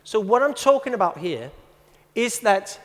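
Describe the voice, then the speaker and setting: A man in his fifties with a Danish accent speaks to a conference audience in a high voice. He tells anecdotes and reads text aloud with deliberate pauses, and the sound rings with the long reverb of a large hall. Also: sing-song. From Denmark. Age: 50s. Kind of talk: presentation to an audience.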